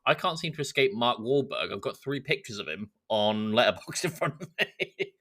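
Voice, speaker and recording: A man's voice: medium pitch, very animated, normal speed, fairly bright tone. A man co-hosting a podcast in a casual, conversational style. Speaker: podcast co-host. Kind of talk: casual chat.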